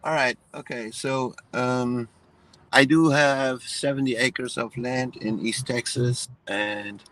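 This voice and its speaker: A man from Iran, raised in Germany, talking in a relaxed conversation with many hesitant fillers and restarts. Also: lowish voice, rough recording. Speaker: guest. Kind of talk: casual conversation.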